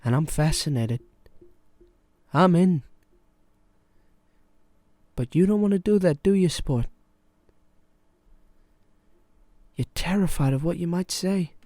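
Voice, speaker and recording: Male actor as weary, wounded therapist, film drama. Measured, pointed delivery, confrontational, close dry recording. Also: fairly high pitch, expressive, dark tone, no echo. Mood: confrontational, intense.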